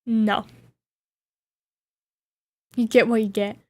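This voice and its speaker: A woman's voice: fairly high pitch, level tone, drawn-out words, dry recording. A woman streamer chatting casually, reading viewer questions aloud and reacting playfully with frequent giggles. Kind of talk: casual live Q&A chat.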